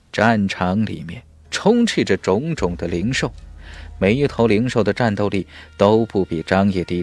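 Male audiobook narrator, lowish and very lively, emphatic fantasy storytelling, close dry recording. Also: no echo. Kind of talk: dramatic scripted narration of a fantasy novel.

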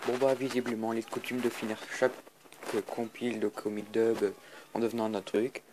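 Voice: mid-range voice, flat delivery, fairly quick, background noise. A video maker talking casually in outtakes and a sign-off.

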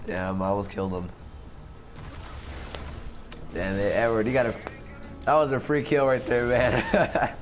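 Slow-talking young man with a sick voice. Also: medium pitch, steady tone, faint music behind. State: sick, voice cracking. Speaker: gaming channel host. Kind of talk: casual gameplay commentary.

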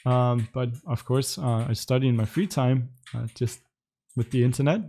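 Relaxed chatty young Danish man. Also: mid-range voice, moderate pace. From Denmark. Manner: relaxed, chatty, frequent ums and light laughs. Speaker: livestream host answering chat questions. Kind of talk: casual livestream Q&A. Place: indoor home room in front of a webcam.

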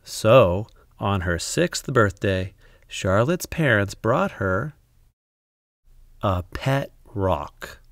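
Male children's narrator, lowish creaky voice, expressive storytelling with deliberate dramatic pauses. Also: no echo, studio quality. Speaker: storybook reader.

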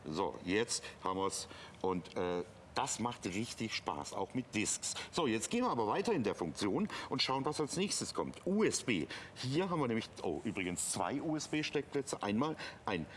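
A man's voice: fairly low pitch, big pitch swings, moderate pace, a little hiss or hum. A man working as a TV shopping presenter, explaining a product step by step in an unscripted, conversational way. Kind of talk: unscripted live product demonstration. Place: TV studio.

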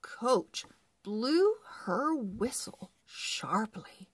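A woman narrating a children's read-along book in a deliberate, expressive way, phrase by phrase. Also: on the high side, slightly rough, dry recording. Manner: deliberate, word-by-word phrasing with animated emphasis. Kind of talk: slow expressive read-aloud for early readers.

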